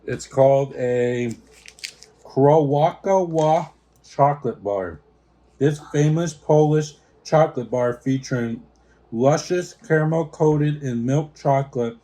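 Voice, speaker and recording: Mellow-voiced man tasting snacks. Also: medium pitch, low-quality recording. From United States. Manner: easygoing, stumbles over foreign names. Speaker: host of a snack taste-test channel. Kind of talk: casual taste-test chat, reading product notes aloud.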